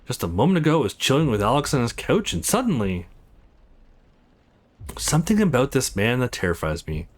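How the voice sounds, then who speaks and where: A man's voice: mid-range voice, very animated, brisk, long pauses. A man voicing a defiant but rattled young male hero in a visual novel, blunt and agitated. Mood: nervous.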